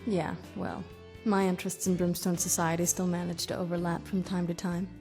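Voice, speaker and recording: An actress playing a tough, sarcastic half-vampire heroine in a video game cutscene, talking in a blunt way. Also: female voice, fairly low pitch, flat delivery, moderate pace, natural voice. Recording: game cutscene with loud music.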